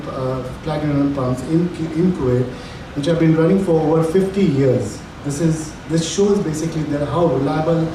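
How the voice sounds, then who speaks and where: A man, a team leader at a local partner firm, giving a formal business presentation in a hotel conference hall. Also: on the high side. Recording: echoey hall from far off, noisy.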